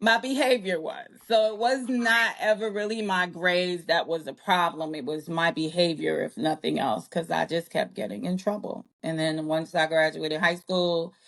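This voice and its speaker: Woman in her 40s from jamaica, kinda low voice, talks in loops and fixes herself a lot, laughs easy. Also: fairly even intonation, moderate pace, gravelly, hardly any pauses, a bit bright. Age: early 40s. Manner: rambling, self-correcting, laughs often. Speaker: guest. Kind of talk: casual interview chat.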